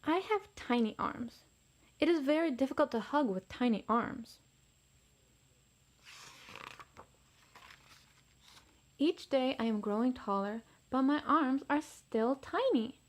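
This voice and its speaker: Earnest little boy T. rex for a storybook, played by a woman, high voice, small and determined. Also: lively intonation, normal speed. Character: tiny young male T. rex, earnest and determined.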